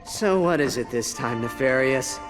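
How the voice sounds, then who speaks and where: An actor playing a brave young alien mechanic hero in a game, wry and casual. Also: male voice, mid-range voice, expressive, normal speed, music in the background, lightly acted.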